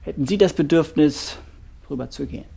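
An actor playing a couples therapist in a German audio drama, speaking deliberately with pauses and giving directions. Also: male voice, a little high, vocal fry, dark tone, slightly rough. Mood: probing. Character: probing, measured male couples therapist.

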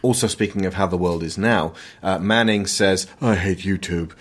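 A man hosting a conversational film discussion, emphatic and opinionated, sometimes halting as he searches for words. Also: low-pitched, breathy edge. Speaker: podcast host.

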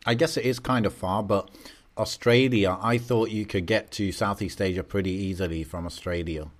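A man with a low voice chats easily with his co-host on a podcast. He muses aloud and drifts between thoughts, full of "um" and "you know". His delivery stays relaxed and unhurried. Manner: easygoing and musing, with many fillers. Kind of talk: casual chat.

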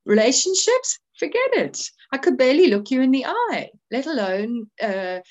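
A middle-aged woman from South Africa with a South African accent, sharing her recovery story in a heartfelt, halting way. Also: high-pitched, sing-song, a little mellow, lo-fi sound. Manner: heartfelt, halting, many restarts. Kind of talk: personal testimony at a recovery meeting.

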